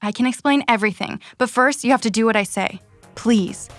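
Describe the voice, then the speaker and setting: An earnest young woman runner played by an actress in a cyberpunk audio drama. Her voice is fairly high and dry, insistent and protective in every plea. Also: a little fast, dry recording, faint music behind, close to an everyday voice. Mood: urgent, determined. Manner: pleading, insistent.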